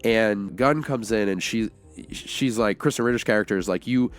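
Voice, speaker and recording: A man's voice: on the low side, normal speed, clean tone. A man co-hosting a casual film discussion, talking in a conversational, rambling way and often acting out imagined lines. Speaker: podcast co-host reviewing a film.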